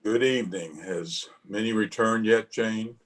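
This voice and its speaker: A man's voice: on the low side, unhurried, lightly acted. A man playing a stern, dry, formal middle-aged father in an old-time radio drama. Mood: reserved. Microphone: video call.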